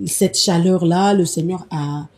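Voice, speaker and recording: A woman's voice: lowish voice, unhurried, warm tone. A woman from the Democratic Republic of the Congo, a gospel singer, speaking earnestly and reflectively in an interview. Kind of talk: interview conversation.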